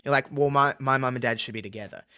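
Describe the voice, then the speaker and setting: A man's voice: mid-range voice, fairly even intonation, quick pace, bright, forceful tone. A man with an Australian accent talking candidly and casually as a podcast guest, with a jokey, self-deprecating streak. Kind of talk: casual conversational interview.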